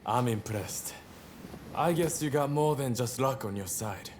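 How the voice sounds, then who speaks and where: An actor voicing a cocky, nonchalant samurai rebel in a video game, sardonic and playfully teasing, with drawn-out delivery. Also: male voice, mid-range voice, a little mellow, slight background noise, lightly acted. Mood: mocking, amused. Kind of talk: dramatic video game voice acting.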